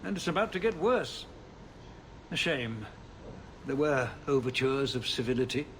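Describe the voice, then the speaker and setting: An actor playing a weary, civil nobleman who rules a troubled city in a video game, measured, formal and dryly ironic. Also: medium pitch, expressive, fairly bright tone, a little hiss or hum, lightly acted. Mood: grave concern.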